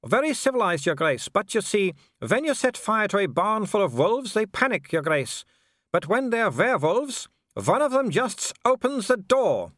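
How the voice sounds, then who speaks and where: A man voicing a gloating male werewolf villain in an audiobook, taunting with drawn-out, mocking courtesy. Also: high voice, very animated, fairly bright tone, no room sound, clean studio sound, pitched above the performer's own voice. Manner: taunting, drawn-out mock politeness.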